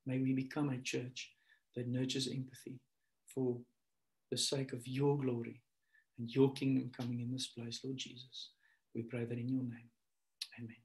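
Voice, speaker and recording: A man in his thirties, a pastor giving a sermon over a webcam from a small room, climbing to loud emphatic peaks and dropping to a soft prayer. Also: medium pitch, level tone, normal speed, pauses often. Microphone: webcam on a video call. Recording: echoey room.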